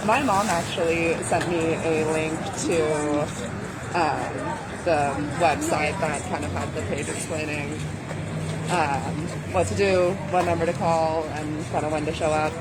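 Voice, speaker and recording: A man's voice: on the high side, steady tone, unhurried. A young man answering interview questions casually, in a polite but firm, explanatory way. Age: young adult. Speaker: interviewee waiting for a vaccine. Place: outdoors by a vaccination line. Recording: very loud outdoor traffic and crowd noise.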